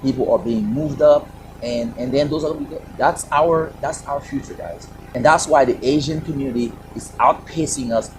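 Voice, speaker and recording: A man's voice: mid-range voice, normal speed, background noise. A man with a Haitian accent, speaking as a panelist on a video call, passionate and emphatic with rhetorical questions. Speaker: panelist, Air Force operations director. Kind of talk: virtual panel discussion.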